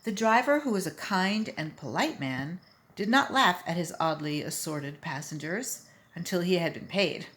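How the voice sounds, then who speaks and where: A woman reading a children's story aloud in an expressive, emphatic storytelling manner. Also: on the low side, normal speed. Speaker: volunteer story reader.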